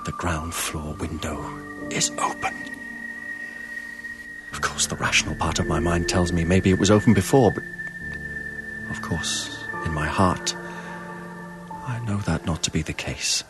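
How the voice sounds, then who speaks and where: An actor voicing a frightened man reading from his journal in a hushed, dread-filled way in a radio drama. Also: low-pitched, fairly even intonation, a little slow, long pauses, over music.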